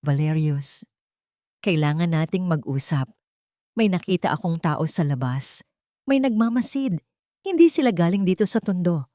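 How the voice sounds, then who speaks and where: A woman's voice: fairly low pitch, expressive, moderate pace, long pauses, dark tone, no room sound, studio quality, natural voice. A woman playing a caring but firm poor young heroine in an audio drama, speaking earnestly. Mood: hushed worry.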